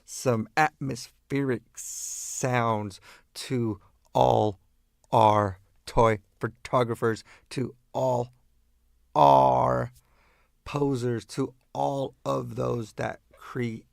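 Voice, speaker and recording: A man from East Los Angeles with an American accent, hosting a casual radio show as disc jockey in drawn-out, halting phrases. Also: mid-range voice, steady tone, creaky, no room sound, studio quality.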